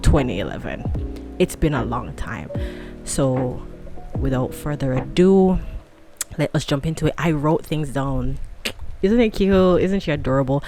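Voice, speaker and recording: A woman in her late twenties chatting casually to camera at home, rambling and self-deprecating, a fan running nearby. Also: rumbling low. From tropical country. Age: 20s. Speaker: vlogger.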